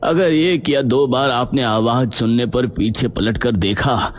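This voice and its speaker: A man playing a grave village elder who gives warnings in an animated horror story. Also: medium pitch, a little slow, natural voice. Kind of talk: acted animated story dialogue.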